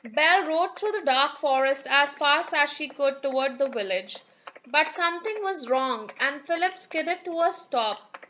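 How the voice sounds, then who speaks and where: A woman narrating a children's fairy tale as an expressive, dramatic storyteller. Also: sits high, measured pace, highs cut off, a little hiss or hum.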